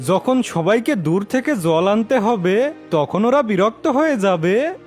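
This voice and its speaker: A man voicing a bossy, gloating villain in a cartoon fairy tale, with a drawn-out commanding delivery. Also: high-pitched, animated, a little mellow, soft background music. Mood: sly, commanding.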